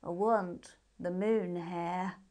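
A woman's voice: lowish voice, steady tone, drawn-out words, a little mellow. Woman putting on a spoilt, childlike little princess. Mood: demanding, drowsy. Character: spoilt little princess girl, plucky and demanding.

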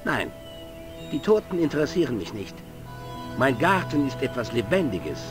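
A man's voice: mid-range voice, big pitch swings, moderate pace, lightly acted. A man playing a suspicious, surly gardener and loyal servant in an adventure game, blunt and distrustful. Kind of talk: adventure game voice acting, German dub. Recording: game music under the voice.

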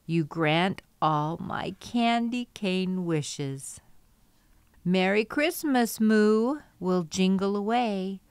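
A middle-aged woman hosting storytime, reading aloud to young children with expressive, drawn-out emphasis. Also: fairly low pitch, clear, resonant tone, dry recording.

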